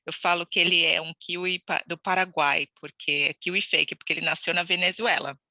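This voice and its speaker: A woman's voice: fairly low pitch, steady tone, brisk, sharp tone, no echo. A woman from Brazil, a podcast guest telling her immigration story in a chatty, laughing way with many restarts. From Brazil (Santos). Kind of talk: casual interview chat.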